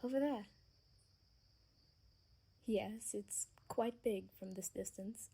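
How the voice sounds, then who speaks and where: A woman acting a gentle, sheltered young vampire daughter in an ASMR roleplay, speaking in a soft, earnest, hesitant way. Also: medium pitch, takes it slowly, creaky. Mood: soft, friendly. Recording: faint background noise with chirping crickets.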